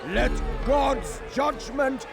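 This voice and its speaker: An actor playing a fanatical, cruel tyrant in a video game, with bombastic, declamatory oratory. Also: male voice, very high voice, vocal fry, exaggerated voice. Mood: zealous, thundering. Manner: bombastic, declamatory, drawn-out shouting. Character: fanatical, cruel male tyrant preaching to a crowd. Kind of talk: theatrical public oration, video game acting. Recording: loud music and crowd noise behind.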